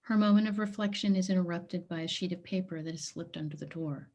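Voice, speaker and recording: A woman's voice: on the low side, fast talker, darkish tone. A woman hosting a play reading and narrating scripted stage directions. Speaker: host and stage direction reader.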